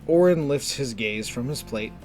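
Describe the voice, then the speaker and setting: A man narrating a scripted fantasy story in a measured, descriptive storytelling manner. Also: medium pitch, expressive, a little mellow, soft background music.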